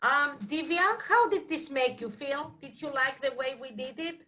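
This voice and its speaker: A woman's voice: high-pitched, moderate pace, sharp tone. A woman hosting a casual online poetry group discussion over a video call.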